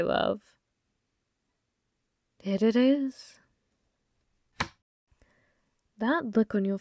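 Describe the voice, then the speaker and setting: A woman voicing a flirty, possessive female demon gambler in an ASMR roleplay, breathy and often whispering, teasing with sweet menace. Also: mid-range voice, darkish tone, dry recording, studio quality. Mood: teasing, playful, then taunting. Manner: slow, teasing, calls listener love. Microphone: close microphone, dry.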